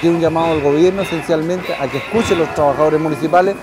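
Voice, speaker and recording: Man, Chilean accent, middle-aged, brisk pace, fairly high voice, interview statement. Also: background noise. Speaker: mayor. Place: outdoors at a rally. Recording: music behind, outdoor rally.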